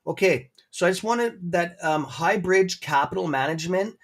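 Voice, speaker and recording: Rambling Canadian man, slightly high voice. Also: normal speed, a bit bright. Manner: rambling, filler-heavy, friendly. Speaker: guest presenter on a stock livestream. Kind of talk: casual livestream chat.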